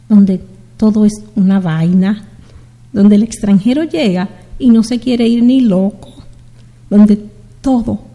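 Slow, dark-voiced Dominican woman host. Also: medium pitch, long reverb tail. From Dominican Republic. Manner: unhurried, heartfelt, greets listeners by place. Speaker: radio show host. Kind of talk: slow, warm community radio sign-off.

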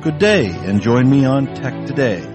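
A man's voice: medium pitch, very animated, moderate pace, music in the background. A man hosting a show, reading a scripted radio promo in an upbeat way. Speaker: show host.

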